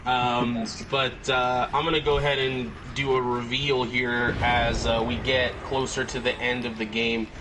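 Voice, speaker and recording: A man's voice: medium pitch, flat delivery, measured pace, few pauses, noisy background. A man hosting a casual gaming podcast, easygoing and full of filler words. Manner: easygoing, filler-heavy, laughs often. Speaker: podcast host.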